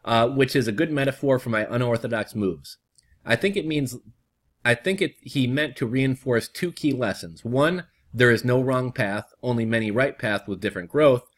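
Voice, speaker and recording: A young man with a hoarse, worn voice reads an article to the camera in a steady, mid-pitched way. Between passages he drops into relaxed vlog chatter, with plenty of "uh" and "um" as he talks. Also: moderate pace. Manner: reads steadily, then chats loosely with fillers. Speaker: vlogger. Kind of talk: reading an article aloud with casual asides.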